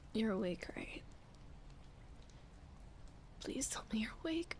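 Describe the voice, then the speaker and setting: A woman's voice: a little high, lightly acted. A woman acting as a sleepy, flustered young roommate in an intimate roleplay ASMR, murmuring hesitantly and often whispering, shy and playful. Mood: flustered, embarrassed. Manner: hesitant, halting, breathy murmuring with sighs. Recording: clear background ambience noise. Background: rain ambience.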